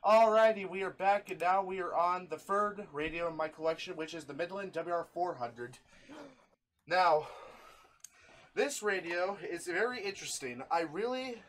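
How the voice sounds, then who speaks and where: A man's voice: high voice, normal speed, fairly bright tone, rough recording. A man hosting a casual hobby show-and-tell, chatting informally with friends. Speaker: video host showing a radio collection.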